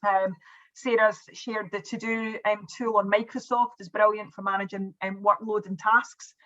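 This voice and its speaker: A woman's voice: mid-range voice, little pitch movement, normal speed, sharp tone, highs cut off. A woman presenting on an online webinar, chatting casually with fillers and restarts over a video call. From Scotland.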